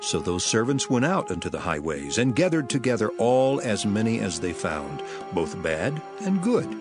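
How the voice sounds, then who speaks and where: A man's voice: on the low side, big pitch swings, moderate pace, over music. An actor playing a grave, authoritative male teacher in a dramatized scripture reading, speaking solemnly and emphatically. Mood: emphatic storytelling.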